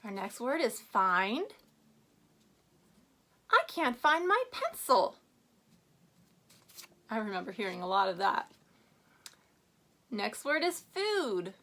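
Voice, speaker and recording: A woman speaks as a teacher to young children in a reading lesson. Her voice sits somewhat high and swings widely in pitch. She instructs step by step, prompting with questions and stressing words expressively. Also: very animated, slow pace, pauses often, pushed and bright. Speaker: first-grade teacher.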